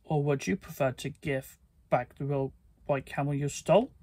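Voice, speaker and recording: A man's voice: fairly high pitch, moderate pace, a little mellow, dry recording. A man voicing a mischievous male genie from a storybook, teasing in tone. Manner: teasing, playful.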